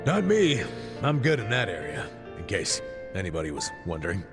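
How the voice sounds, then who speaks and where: An actor playing a world-weary, boastful male sidekick in a video game dub, gravelly and dryly wisecracking. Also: low voice, huge pitch swings, moderate pace, over music, exaggerated voice. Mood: smug, joking. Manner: dry, wisecracking.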